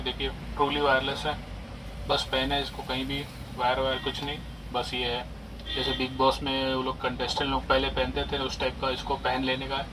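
Distant man over loud noise. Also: medium pitch. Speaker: product reviewer. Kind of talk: casual demonstration and review. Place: small cluttered shop, indoors.

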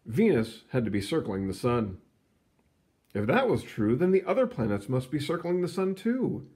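Guy doing a picture book read-aloud, really lively up and down voice, medium pitch, storyteller emphasis. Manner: animated, storytelling delivery with drawn-out emphasis.

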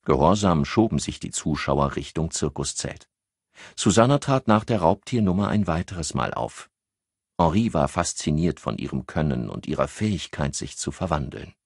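A man with a husky voice narrating a crime novel as an audiobook. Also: a bass voice, lively intonation, moderate pace, no echo, clean studio sound.

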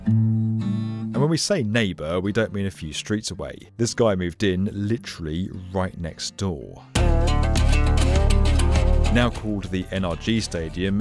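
A British man in his thirties giving upbeat, scripted documentary narration, recorded in a home studio on a lapel mic. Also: lowish voice. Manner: clear, upbeat explainer delivery. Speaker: channel presenter.

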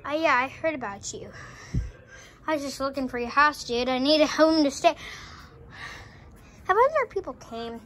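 A woman's voice: high-pitched, expressive, moderate pace, long pauses, fairly bright tone. A female performer voicing a scrappy, homeless junkyard animatronic with a casual, rambling way of talking in a toy drama. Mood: forlorn, casual. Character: scrappy, down-on-its-luck junkyard animatronic looking for a home.

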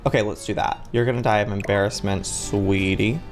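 A man in his twenties giving playful gameplay commentary, excitable, with exaggerated reactions. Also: on the low side, brisk, faint music behind. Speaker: gaming video host.

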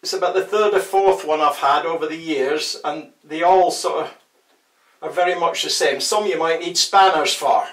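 A man's voice: on the high side, moderate pace, a bit bright. An older man giving a casual hands-on how-to, chatty and wry with self-deprecating humour. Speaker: DIY tutorial presenter. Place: small tiled bathroom shower, indoors. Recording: roomy tiled echo, distant microphone.

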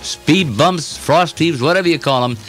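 A man's voice: a little high, normal speed, fairly bright tone, faint music behind. A man working as a radio news reporter, reading a scripted editorial in an emphatic, opinionated way.

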